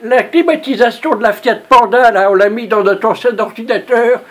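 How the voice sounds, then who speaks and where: A performer voicing an excitable, stubborn, naive old grandmother in a prank phone call. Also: medium pitch, moderate pace, lightly acted. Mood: earnest, insistent. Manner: insistent, rambling, tags sentences with questions.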